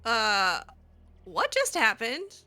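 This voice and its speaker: Animated, bright, sarcastic woman gamer. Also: fairly high pitch, extremely slow, soft background music. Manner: sarcastic, exasperated, theatrical. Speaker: gamer roleplaying her character. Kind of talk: improvised in-character game playthrough commentary.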